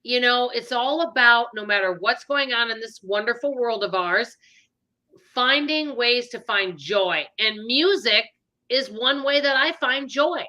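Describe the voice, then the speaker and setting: A woman with a Minnesota accent hosts a casual live stream, chatting in an upbeat, rambling way. Her voice is bright and a little high. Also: unhurried. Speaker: channel host.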